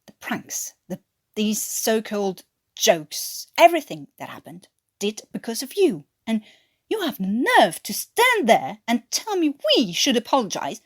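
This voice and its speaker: A woman's voice: fairly high pitch, wildly swooping pitch, a little slow, full character voice. Woman playing a seething, arrogant flight sergeant. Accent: English. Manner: scornful, seething, clenched. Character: bitter, arrogant male flight sergeant, proud and furious.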